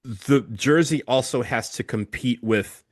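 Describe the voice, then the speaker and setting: A man's voice: mid-range voice, measured pace, no echo, studio quality. A man co-hosting a podcast, talking casually about football kits in a hesitant way with many fillers and restarts. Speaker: podcast co-host. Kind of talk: casual chat.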